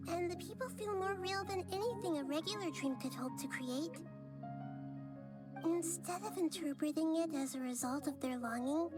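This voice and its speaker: A woman voicing a small girl goddess in a game, speaking in a calm, thoughtful, earnest way. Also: sits high, natural voice. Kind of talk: game voice acting. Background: game music.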